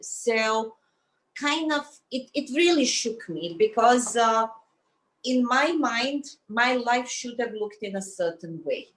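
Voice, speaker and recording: A woman, a guest recounting her life in an earnest, measured way. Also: on the high side, lively intonation, a bit bright, rough recording. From Bosnia. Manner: earnest, measured, many fillers. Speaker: guest, fitness teacher. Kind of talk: reflective personal storytelling.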